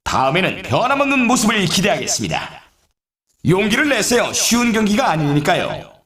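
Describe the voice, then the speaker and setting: An actor playing an excitable, teasing male snowboard race announcer in a video game, with polite, formal phrasing and playful sarcasm. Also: sits high, fairly quick, roomy sound, distant mic, heavily acted. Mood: encouraging. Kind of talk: scripted game sports commentary.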